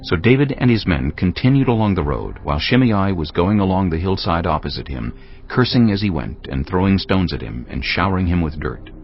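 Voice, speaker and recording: A man's voice: sits low, big pitch swings, fairly quick. A man reading scripted scripture as the narrator of an audio Bible. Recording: dull lo-fi audio with noise.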